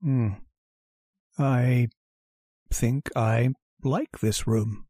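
A man's voice: mid-range voice, steady tone, drawn-out words, husky, dry recording, clean studio sound. A man playing a stammering, secretive lodger. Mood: withdrawn. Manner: hesitant and stammering, soft-spoken. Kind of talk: radio drama acting.

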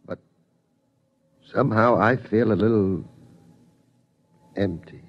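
A man's voice: fairly low pitch, slow. An actor playing a weary, protective father in a 1950s radio drama. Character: weary, protective father, head of surviving family. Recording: muffled old radio recording.

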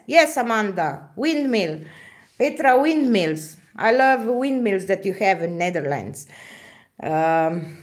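Slow-talking Slovenian-accented woman. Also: mid-range voice. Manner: halting, searches for words, frequent fillers and laughs. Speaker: acrylic pouring artist hosting a live stream. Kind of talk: casual live-stream chat reading viewer comments. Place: indoor craft table, camera overhead.

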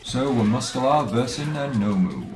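A man streaming a video game, chatting casually and haltingly with viewers as he plays, often trailing off and restarting. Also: mid-range voice, expressive. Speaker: let's play streamer. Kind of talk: casual live game commentary and chat with viewers. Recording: roomy echo, game music behind. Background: video game music.

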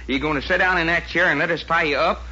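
An actor playing a folksy, tough adventurer with an American Southern accent in an old-time radio drama. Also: male voice, on the high side, fast talker, sharp tone, slightly rough, full character voice. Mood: tough, commanding. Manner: drawling, folksy, blunt. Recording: muffled, noisy old radio recording.

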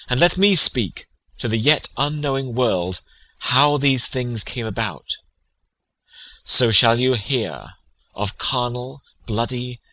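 A man's voice: medium pitch, bright, forceful tone, low-quality recording. A man playing a prince's loyal, grieving friend in a classical tragedy, speaking in a solemn, declamatory way. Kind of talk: classical stage tragedy, dramatic audiobook reading.

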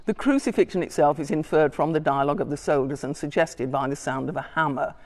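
A woman's voice: low-pitched, fast talker, a little mellow. Older woman lecturing in an echoing church. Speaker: guest lecturer. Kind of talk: lecture read from notes. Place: large church, indoors. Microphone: far camera. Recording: long church reverb, distant.

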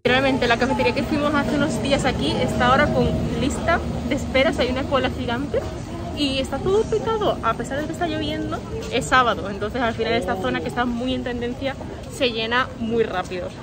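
Quick, high-voiced chatty woman vlogger. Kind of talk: casual travel vlog chat. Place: outdoors on a busy city street. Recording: music and crowd noise behind.

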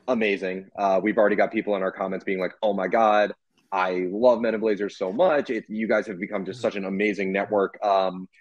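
A man's voice: fairly low pitch, dry recording. A young man interviewing a guest over a video call, in a casual way with many fillers. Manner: casual, filler-heavy questioning. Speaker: interviewer, writer. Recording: dull, lo-fi video call audio.

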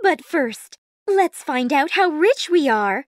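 An earnest, emotional storybook woman, speaks theatrically in a very high voice. The pitch swoops up and down at an unhurried pace. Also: very animated, dry recording, studio quality, full character voice. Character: earnest, emotional storybook women, wives and servant.

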